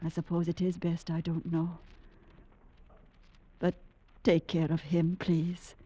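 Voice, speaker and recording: An intense suspicious wife in an old radio play, very mellow dark voice, theatrical. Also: female voice, on the low side, completely flat delivery, slow, low-quality recording. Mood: suspicious, anxious. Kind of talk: 1940s-50s radio drama acting. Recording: vintage radio recording.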